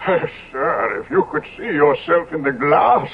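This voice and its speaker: An anxious, devoted manservant in vintage radio drama style. The voice sits on the high side and moves animatedly up and down, always deferential. It comes through a thin, narrowband old radio recording. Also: male voice, expressive, unhurried, creaky. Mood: soothing. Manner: deferential, hesitant when troubled. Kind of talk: 1970s radio drama acting.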